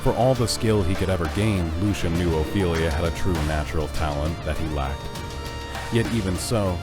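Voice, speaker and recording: Deep guy narrating a scripted fantasy tale, music loud behind him. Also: normal speed.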